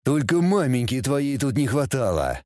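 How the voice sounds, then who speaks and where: A man's voice: mid-range voice, sing-song, normal speed, warm tone, dry recording, lightly acted. An irritable devil monarch, played by a man narrator, growling and weary of his heir. He grumbles with exasperation in a dry fantasy audiobook recording. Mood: irritated.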